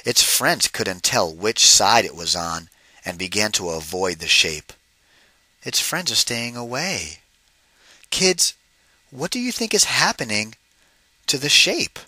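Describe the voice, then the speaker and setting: A man reading a picture book aloud to children as a teacher, deliberate and emphatic, addressing them directly. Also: on the low side, expressive, sharp tone, dry recording. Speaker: read-aloud narrator and teacher.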